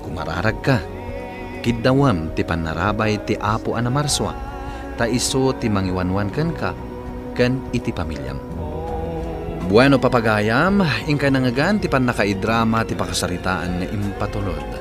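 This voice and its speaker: A man's voice: lowish voice, expressive, moderate pace. A man with an Ilocano accent hosting a radio drama program, giving sincere scripted counsel to a listener. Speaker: radio drama host and advice giver. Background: music.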